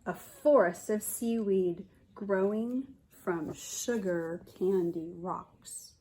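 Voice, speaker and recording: A woman in her forties reading a picture book aloud to young children as a kindergarten teacher, expressive and explaining as she goes. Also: medium pitch, extremely slow, slight background noise, low-quality recording. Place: living room at home, indoors.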